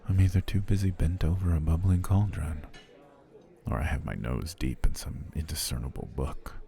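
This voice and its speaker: A man playing a gravelly, obsessive potion seller. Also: rumbling low, moderate pace. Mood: adoring. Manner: soft-spoken, flowery, courtly, drifting into whispers. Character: obsessive smooth-talking male potion seller, adult. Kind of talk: ASMR roleplay.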